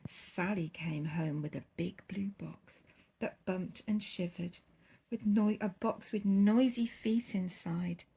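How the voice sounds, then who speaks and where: A woman's voice: medium pitch, takes it slowly, warm tone, slightly rough. A woman reading a children's bedtime story expressively, drawing out key words with theatrical emphasis.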